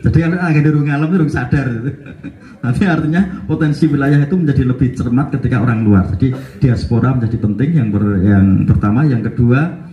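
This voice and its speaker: A man's voice: mid-range voice, a little fast, dull audio. A male talk-show host from Central Java who listens attentively, agrees often and sums up his guest's points. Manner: attentive, frequent short agreements, summarizing points. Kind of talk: moderating a conversation. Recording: echoey room.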